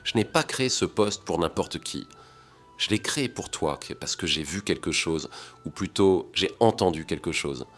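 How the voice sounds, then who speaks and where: Deep-voiced hotel magnate, calm and sincere, intimate delivery, read by a male narrator. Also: normal speed. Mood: earnest. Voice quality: deep, warm. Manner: low and intimate.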